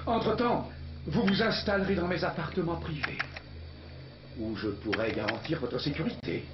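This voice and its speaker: A man's voice: on the high side, moderate pace, noisy background, natural voice. A man voicing a scheming, power-hungry cartoon ruler in a French dub, with a grandiose, commanding, theatrical manner. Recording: dull lo-fi TV audio under loud music.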